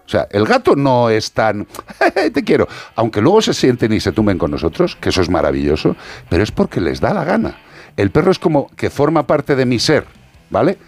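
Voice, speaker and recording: A man co-hosting a radio show, telling stories in a casual, animated way. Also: mid-range voice, a little fast. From Galicia, Spain. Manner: animated, storytelling, swings between loud and soft. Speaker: radio co-host.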